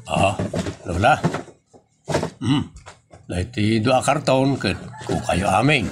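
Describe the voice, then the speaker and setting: A man's voice: lowish voice, lively intonation, slow pace, over music. An Ilocano-accented man playing a kindly, patient charity vlogger in a comedy audio drama, speaking in a hesitant, conversational way. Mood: helpful, amused.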